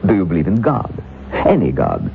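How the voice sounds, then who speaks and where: A man's voice: low voice, huge pitch swings, gravelly, full character voice. An actor playing a suave, menacing male occultist author in a 1970s radio drama, talking in a silky, mocking way. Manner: silky, mocking, slow and deliberate. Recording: tinny lo-fi radio broadcast with faint hiss.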